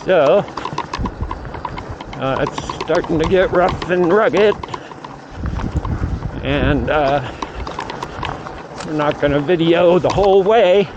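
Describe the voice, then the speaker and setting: Man, middle-aged, slightly high pitch, casual vlog chat, musing aloud, dull muffled outdoor audio. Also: takes it slowly, long pauses. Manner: rambling, musing aloud to himself. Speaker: vlogger and amateur radio operator. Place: outdoors on a mountain bike trail. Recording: muffled outdoor audio with clear background noise.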